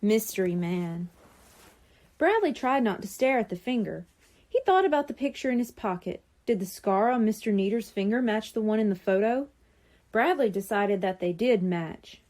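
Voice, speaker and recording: Mellow, lively woman reading a children's story. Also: mid-range voice, moderate pace. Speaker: audiobook narrator. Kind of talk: scripted children's story narration.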